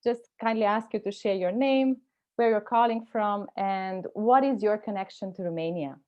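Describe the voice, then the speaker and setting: A woman in her forties moderating an online meeting from a bright room at home, speaking warmly with lots of hesitations into an earphone mic. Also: medium pitch, moderate pace, muffled recording. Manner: warm, hesitant, many fillers. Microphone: earphone mic on video call.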